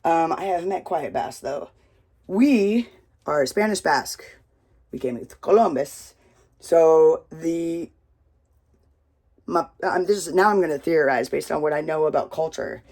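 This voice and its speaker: An American storyteller telling a family tale with props in a loud, rambling, casual way, swearing freely. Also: medium pitch, measured pace, long pauses. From United States.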